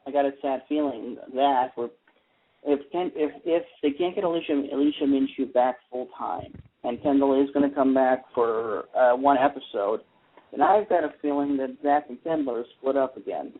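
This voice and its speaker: Husky man on a tinny line. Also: medium pitch, normal speed. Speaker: radio show host. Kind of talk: casual entertainment talk about TV soaps. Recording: tinny phone-like internet radio line.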